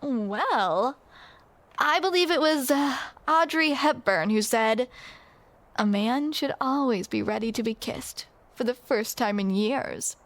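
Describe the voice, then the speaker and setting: A woman's voice: on the high side, lively intonation, slow, dry recording. A young woman performing a scripted romantic roleplay to the listener, with playful, teasing banter.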